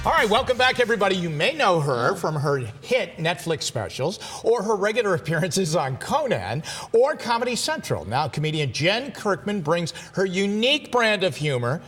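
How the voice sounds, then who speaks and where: A man's voice: high voice, sing-song, moderate pace, fairly bright tone, husky, faint music behind. An American man hosting a local TV talk show, presenting and chatting in an upbeat studio style.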